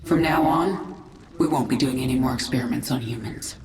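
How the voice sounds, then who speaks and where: A woman's voice: rumbling low, brisk, far from the mic. A woman playing a vengeful, commanding queen of an alien swarm in a video game, speaking tersely and with authority. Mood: stern, probing. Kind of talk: video game character acting. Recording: roomy echo, noisy game ambience.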